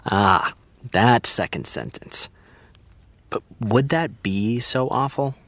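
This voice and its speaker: A man playing a gentle, easygoing actor and supportive confidant in an audio drama, measured and dryly teasing. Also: mid-range voice, no room sound. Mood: gently teasing. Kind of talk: naturalistic audio drama dialogue.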